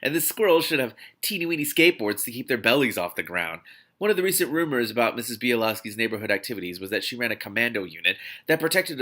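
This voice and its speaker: A man reading a novel aloud expressively as host, animated and given to breaking off with excited reactions. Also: mid-range voice. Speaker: host of a read-aloud book series.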